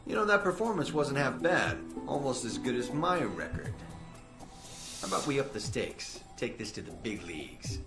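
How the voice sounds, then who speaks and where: An actor as a cocky, animated male agent. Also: medium pitch, moderate pace, close to an everyday voice. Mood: cocky, goading. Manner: cocky, teasing. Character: cocky male agent trainer, taunting and smug. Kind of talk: video game voice acting. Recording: roomy echo, music behind.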